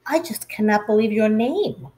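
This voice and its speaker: A woman's voice: mid-range voice, normal speed, warm tone, lightly acted. A woman voicing a sensitive, downcast young schoolgirl in a storybook, complaining plaintively.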